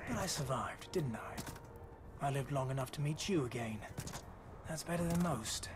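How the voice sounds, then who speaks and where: A man's voice: mid-range voice, lively intonation, unhurried, noisy background, close to an everyday voice. An actor playing a shrewd, hardened male mercenary leader in a dramatic video game, wry and assertive. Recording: clear music behind.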